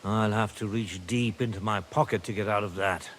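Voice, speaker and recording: An actor voicing a worried, shrewd middle-aged mine owner and father in a video game, speaking in a measured, grave way. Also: on the low side, steady tone, fairly bright tone, a little hiss or hum, lightly acted.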